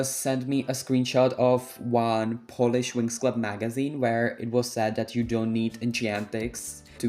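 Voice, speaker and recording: A man's voice: mid-range voice, darkish tone. Sassy young man with a Czech accent. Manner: sassy, slangy, dismissive. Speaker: vlogger. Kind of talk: casual opinion rant.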